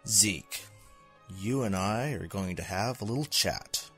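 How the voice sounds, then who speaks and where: An actor playing a cold, menacing bodyguard in an audio drama, controlled and taunting. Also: male voice, lowish voice, animated, slow, a bit bright, faint music behind. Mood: cold menace. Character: cold, menacing male bodyguard interrogating a foe.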